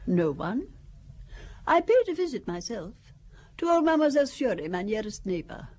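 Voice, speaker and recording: A rich older lady in a radio play, gracious and fluttery, covering her nerves with hospitality. Her voice sits fairly high and rises and falls animatedly. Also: very animated, normal speed, mellow. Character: wealthy older widow, nervous but gracious. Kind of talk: radio drama acting.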